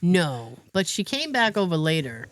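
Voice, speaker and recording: A woman's voice: fairly low pitch, moderate pace, no echo. An American woman hosting a podcast, telling a story in casual chat and acting out what other people said. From United States. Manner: animated storyteller, acts out other people's lines. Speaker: podcast host.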